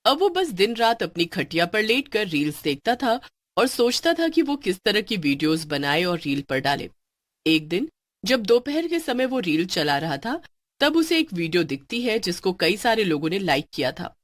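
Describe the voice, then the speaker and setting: A woman narrating a cartoon story in a lively storytelling manner. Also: medium pitch, normal speed, no room sound, clean studio sound.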